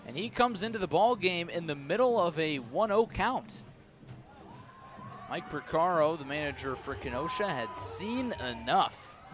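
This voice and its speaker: A man's voice: a little high, lively intonation, measured pace. A man doing live radio play-by-play of a baseball game as the announcer. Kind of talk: live radio sports commentary. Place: press box at an outdoor ballpark.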